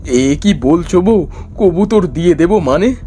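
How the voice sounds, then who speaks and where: A man's voice: on the high side, animated, unhurried, warm tone, slight background noise. A man playing an earnest, eager village husband and small trader in a cartoon story. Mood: puzzled. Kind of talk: Bengali cartoon story voice acting.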